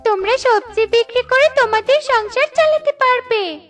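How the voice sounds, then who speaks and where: A woman voicing a kind, gentle little fairy girl in a children's cartoon, sweet and reassuring. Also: extremely high pitch, normal speed. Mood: grateful, reassuring. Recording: music behind the voice.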